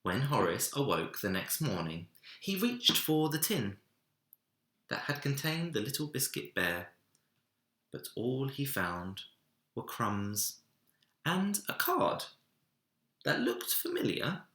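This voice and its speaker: Expressive, slow-paced man reading a storybook. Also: medium pitch. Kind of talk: expressive children's storybook narration. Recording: roomy, echoey indoor sound.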